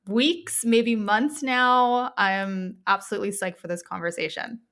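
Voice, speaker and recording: A woman's voice: mid-range voice, fairly even intonation, unhurried, fairly bright tone. Gushing chatty woman podcast host. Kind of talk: casual interview chat.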